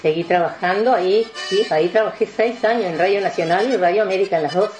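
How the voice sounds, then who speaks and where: An older woman with a Uruguayan accent, a veteran announcer reminiscing in a radio interview in a halting, rambling way. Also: medium pitch, darkish tone, faint music behind.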